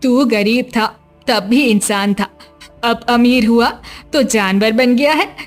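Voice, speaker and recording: A heartbroken elderly mother played by a woman laments and scolds in a cartoon fable. She speaks slowly in a fairly high voice over soft music. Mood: anguished, scolding. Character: heartbroken old mother of a village man. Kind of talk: Hindi animated moral story dialogue.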